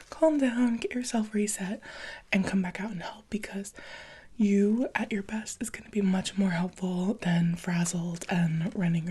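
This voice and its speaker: A woman with a very breathy, whispery voice and a mellow tone plays an interviewer in an ASMR roleplay. She speaks softly and conversationally, with a gentle, encouraging manner. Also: medium pitch. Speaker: ASMR roleplayer playing a restaurant job interviewer.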